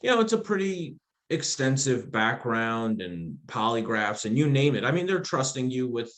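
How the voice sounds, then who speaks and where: A man's voice: medium pitch, normal speed. Chatty man telling stories with restarts. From Afghanistan, raised in the United States. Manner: animated, laughs often, frequent restarts. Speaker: podcast guest, entrepreneur. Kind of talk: casual storytelling conversation.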